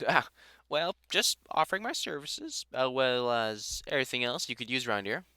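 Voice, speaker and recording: A man playing a gruff older vampire hunter in a roleplay audio drama, a fond, teasing mentor with dry sarcasm who grows halting when sentimental. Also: mid-range voice, animated, sharp tone, dry recording. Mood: awkward fondness.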